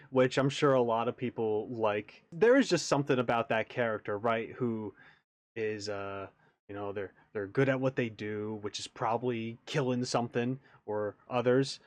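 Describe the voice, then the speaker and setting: A man's voice: mid-range voice, no echo. A man giving casual, wry, joking reaction commentary and swearing freely. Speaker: reaction video host.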